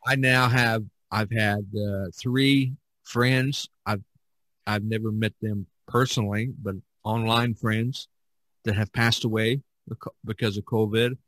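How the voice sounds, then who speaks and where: A middle-aged man chatting casually as a podcast host, rambling and halting as he searches for words. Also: lowish voice, steady tone, a bit bright, dry recording. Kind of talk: casual chat.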